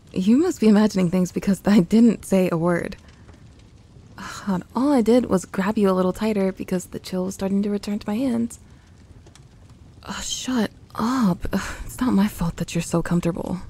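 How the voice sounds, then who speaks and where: A woman playing a young tsundere tomboy best friend in an intimate audio roleplay, grumbling and flustered but secretly affectionate, in a soft voice that often turns to whispering. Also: lowish voice. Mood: flustered, defensive. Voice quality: soft, breathy.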